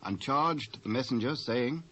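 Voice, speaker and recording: A British man with a husky voice giving scripted narration of scripture on an old cassette recording. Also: medium pitch, lively intonation, measured pace, sharp tone. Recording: dull old cassette tape.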